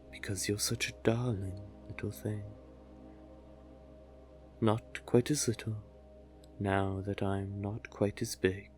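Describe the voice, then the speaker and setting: A man as a slow, low, seductive sea witch. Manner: soothing, coaxing, teasing, deliberate. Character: seductive, doting, manipulative male sea witch, a scaled merman. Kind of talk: slow hypnotic roleplay audio acting.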